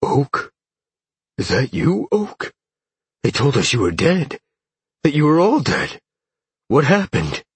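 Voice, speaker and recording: A man voicing an incredulous, exclaiming male captive in a fantasy story, with a husky voice. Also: on the low side, wildly swooping pitch, slow pace, fairly bright tone, no echo, pitched above the performer's own voice, full character voice. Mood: shocked. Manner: exclaiming, disbelieving.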